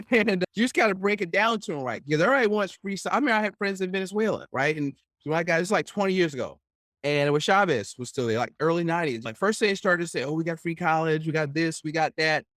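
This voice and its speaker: A man's voice: a little high, fast, fairly bright tone, dry recording, clean studio sound. A man hosting a podcast interview, chatting casually in a rambling, opinionated way and often tagging his points with "right?". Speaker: podcast host.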